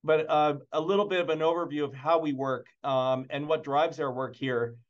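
A man giving an informal slide presentation over a video call, speaking in halting phrases with repeated words. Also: a little high, fairly even intonation. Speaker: presenter, museum imaging department staff. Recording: dull, band-limited video call audio.

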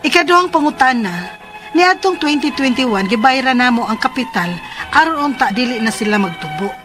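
An actress plays a troubled young woman with a Cebuano Filipino accent. Her voice sits high and sounds earnest and pleading, in radio-drama style. Music plays under her. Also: natural voice. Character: troubled young woman worried about her partner's debt. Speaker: drama lead and letter narrator.

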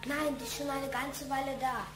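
A woman's voice: high-pitched, little pitch movement, moderate pace, reverberant, music in the background, close to an everyday voice. A woman playing an eager young boy in a radio play. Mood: eager, pleading. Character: young boy, eager kite builder pleading with father.

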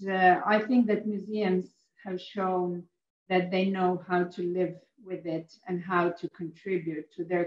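A woman's voice: lowish voice, a little mellow. Woman speaking in a roomy, muffled remote feed. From Croatia. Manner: hesitant, frequent uh fillers. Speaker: guest speaker. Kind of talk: formal conference welcome. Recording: echoey muffled remote audio.

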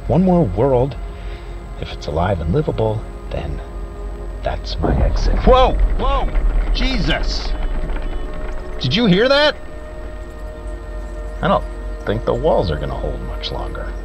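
An actor playing a weary, desperate radio observer facing doom in an audio drama, speaking in halting, broken phrases. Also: male voice, on the low side. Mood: startled alarm. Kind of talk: audio drama monologue, found-footage radio transmission.

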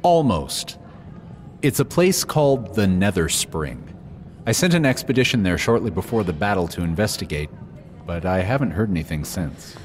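A man's voice: deep voice, big pitch swings, normal speed, faint music behind. A man playing a sombre investigator reporting in a fantasy audio drama. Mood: sombre, informative. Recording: long hall reverb, faint noise.

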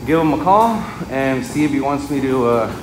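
A man's voice: medium pitch, animated, moderate pace. A man giving a casual, informal hands-on work commentary as a technician, speaking to his viewers while he works. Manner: informal, addresses viewers as guys. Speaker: refrigeration technician, vlog host. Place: on site at work, inside walk-in freezer and outdoors at condensing unit. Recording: loud machinery and ambient noise, handheld camera.

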